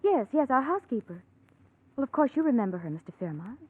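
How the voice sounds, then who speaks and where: Tinny old radio recording, close and dry, of a proud young woman. Her high, slightly husky voice moves expressively, polite yet guarded and defiant. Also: big pitch swings, fast, no room sound. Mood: breezy. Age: young adult. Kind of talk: 1940s radio drama acting. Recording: thin, band-limited old radio recording.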